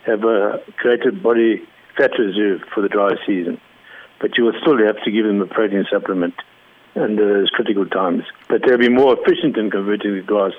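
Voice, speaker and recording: A man from Zimbabwe, a cattle rancher, explaining his work in a measured way during an interview. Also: on the low side. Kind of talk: phone interview. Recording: narrow phone line.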